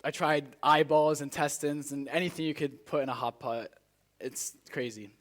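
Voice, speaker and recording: A teenage man raised in Spain delivers a rehearsed speech to a live audience as a student contestant, with earnest, deliberate, rhetorical phrasing. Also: fairly high pitch, steady tone, fairly bright tone. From Spain, born in South Africa. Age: teenager, high school student.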